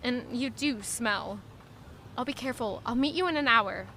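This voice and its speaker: A woman's voice: fairly high pitch, moderate pace, bright, forceful tone, a little hiss or hum. A woman acting a spirited, guarded young noblewoman and lady-in-waiting, in audio drama dialogue and narration. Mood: exasperated, coaxing.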